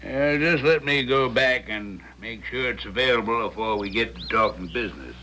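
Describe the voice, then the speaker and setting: A man's voice: medium pitch, sharp tone, close to an everyday voice. Man playing folksy american garage guy in an old radio play, mid voice, plain talking, takes his time. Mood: plain, cautious. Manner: folksy, plain-spoken, drawn-out. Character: folksy, unhurried small-town garage owner, male. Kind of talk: 1970s radio drama acting.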